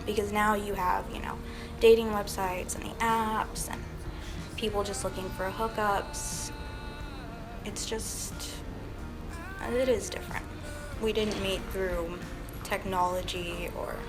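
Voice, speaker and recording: A woman being interviewed for a documentary, talking in a reflective, unhurried way. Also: mid-range voice.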